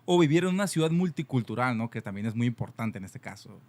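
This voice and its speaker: A man's voice: mid-range voice, lively intonation, fast, a little mellow, no room sound. Dry-sounding male podcast host. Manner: frequent short backchannels. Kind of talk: casual interview. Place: living in Montreal.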